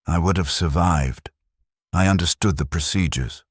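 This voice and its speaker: A man's voice: sits low, moderate pace, slightly rough, no room sound, studio quality. A man voicing a dying young male soldier, proud and bitter, with stiff formal phrasing in a narrated war story. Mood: bitter, fading.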